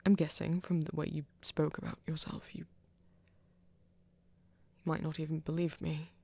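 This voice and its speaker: A performer playing a flirty, earnest vampire in an ASMR romance roleplay, speaking haltingly and intimately in a husky, often half-whispered voice. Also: mid-range voice, little pitch movement, a little mellow. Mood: tender, reassuring. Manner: hesitant, halting, breathy and intimate. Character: flirty, earnest vampire confessing love, soft-spoken.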